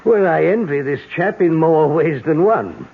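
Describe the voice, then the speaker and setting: A man's voice: a little high, animated, a little slow, creaky. An older man with a British accent acting a genial, avuncular old police inspector and war veteran in a radio drama, with old-fashioned, musing phrasing. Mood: fond, genial. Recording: tinny, noisy old radio recording.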